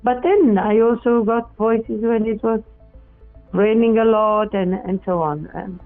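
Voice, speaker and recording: A speaker from Portugal, answering interview questions in a halting way, often restarting and correcting words. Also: medium pitch, steady tone. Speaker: experimenter in spirit communication. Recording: tinny phone-like audio, faint music.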